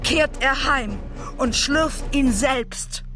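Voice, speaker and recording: A woman's voice: high voice, extremely slow, fairly bright tone, faint music behind, full character voice. An actress playing a vengeful, murderous mythic queen, declaiming solemnly in a classical tragedy for radio. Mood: grim triumph.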